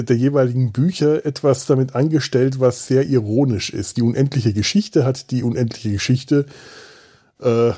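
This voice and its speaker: A man hosting a solo podcast in a casual, rambling style, halting and interrupting himself with repeated words, with wry, self-deprecating humor. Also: mid-range voice, warm tone, slightly rough. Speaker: podcast host.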